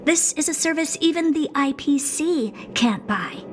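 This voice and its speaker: High, haughty little genius scientist. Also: female voice, lively intonation, a little slow, a little hiss or hum. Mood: smug, coaxing. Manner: condescending, smug, blunt, bored drawl turning to keen curiosity. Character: haughty, doll-like female genius scientist who owns a space station. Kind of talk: video game cutscene acting. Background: music.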